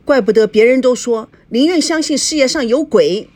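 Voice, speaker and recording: Narrator lady doing a jealous sharp-tongued wife, high voice swooping all over, scolding and sarcastic. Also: big pitch swings, a little slow, exaggerated voice. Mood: furious.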